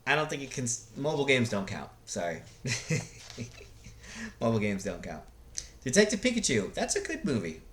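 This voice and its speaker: A man's voice: mid-range voice, very animated, moderate pace, pauses often, a bit bright, slight background noise. A man giving casual, unscripted opinions like a video blogger, blunt and rambling, often hesitating as he searches for words.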